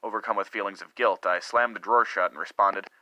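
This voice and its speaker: Man acting a sharp-voiced, lovesick, guilt-ridden husband. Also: on the low side, steady tone, dry recording, low-quality recording. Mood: brooding, guilty. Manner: earnest, theatrical, with dramatic pauses and swells. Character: lovesick, guilt-ridden middle-aged husband confessing a torrid affair. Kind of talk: melodramatic dramatic reading of a first-person confession.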